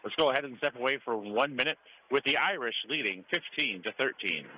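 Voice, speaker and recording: A man's voice: medium pitch, animated, brisk, sharp tone, faint music behind. An American man doing live radio play-by-play commentary of a volleyball match. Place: high school gym during a match. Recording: clearly noisy gym ambience. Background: gym ambience and noise.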